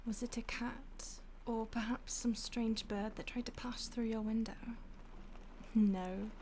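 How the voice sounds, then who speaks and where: A woman's voice: fairly high pitch, fairly even intonation, a little slow, a little hiss or hum, close to an everyday voice. Woman voicing a whispering, teasing vampire. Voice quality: soft, often whispered. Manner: teasing, mock-polite, savoring. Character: polite, predatory female vampire toying with a captive. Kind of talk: audio roleplay.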